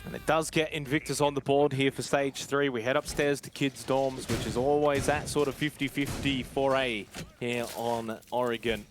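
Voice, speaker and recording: A man's voice: medium pitch, steady tone, moderate pace, over music. A man giving live analysis as an esports co-commentator in a conversational, explanatory way. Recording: clear game noise and clicks behind. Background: game sounds and clicks.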